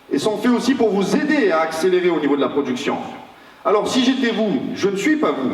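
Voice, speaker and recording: A man with a high, bright voice delivers a rousing motivational talk and hammers his points home through repetition and rhetorical questions. He sounds distant from the microphone. His voice echoes with a long tail through a large hall. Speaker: network marketing trainer. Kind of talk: motivational seminar speech. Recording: echoey hall, mic far off.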